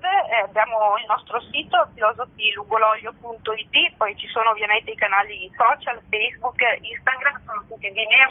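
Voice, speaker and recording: A woman being interviewed by phone as a festival director, speaking earnestly in formal, elaborate phrases and often pausing to search for words. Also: medium pitch, slightly rough. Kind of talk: radio phone interview, spontaneous explanation. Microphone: telephone. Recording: narrow tinny phone line.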